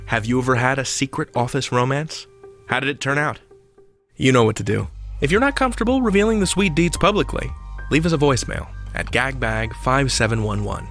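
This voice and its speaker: Casting: male countdown-podcast host, very lively swooping delivery, mid-pitched, playful and theatrical, some music around the voice. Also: brisk. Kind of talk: chatty podcast countdown and commentary.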